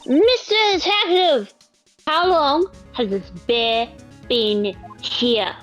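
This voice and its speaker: A woman voicing a sweet, earnest girl assistant with a gentle, hesitant manner in a live game fan dub. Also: high-pitched, big pitch swings, takes it slowly, exaggerated voice. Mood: curious. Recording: music behind the voice.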